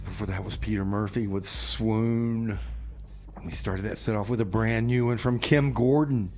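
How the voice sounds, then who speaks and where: A man's voice: on the low side, fairly even intonation, moderate pace, darkish tone. A man hosting a community radio show with casual, comic, rambling banter and folksy song announcements.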